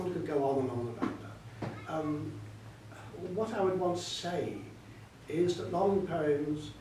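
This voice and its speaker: An older man with a slightly high voice talks as a scholar at a live event, circling his points and stressing them by repetition. The room is very reverberant and he sounds some way from the microphone, with faint noise behind him. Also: warm tone. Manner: discursive, emphatic, repeats himself for stress. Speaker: author and scholar being interviewed. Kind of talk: extended spoken explanation at a book event. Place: indoor event hall. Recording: echoey hall, distant mic, faint noise.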